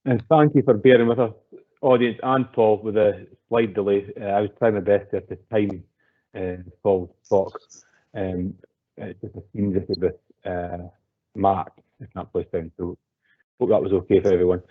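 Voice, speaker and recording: Lowish voiced guy hosting a webinar, rambly friendly questions, tinny phone-line sound. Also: fairly even intonation, moderate pace. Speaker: event host. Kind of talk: hosting an online masterclass.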